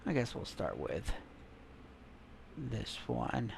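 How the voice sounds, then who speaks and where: A man's voice: medium pitch, big pitch swings, slow, gravelly, a little mellow, slight background noise. A man casually commenting while browsing articles and images online, musing and trailing off as he searches. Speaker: gaming content creator.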